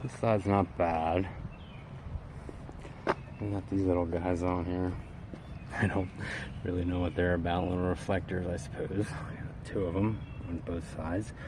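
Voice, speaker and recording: A man giving an unscripted, casual vlog walkaround, talking in a laid-back, halting, wryly resigned way. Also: low-pitched, flat delivery. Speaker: vlogger showing his own vehicle. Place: in and around an old SUV. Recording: noisy, vehicle ambience.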